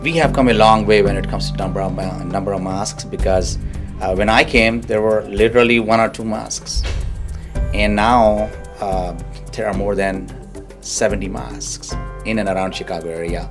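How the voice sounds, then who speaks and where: A man's voice: on the low side, steady tone, moderate pace, music in the background. A man speaking earnestly in a documentary interview, often restarting his phrases.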